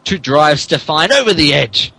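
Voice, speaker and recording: A man's voice: fairly high pitch, lively intonation, moderate pace, vocal fry, sharp tone, breathy edge, rough recording. A man narrating a comic dramatic reading in a mock-serious way, often stumbling over his words. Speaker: podcast host reading as narrator.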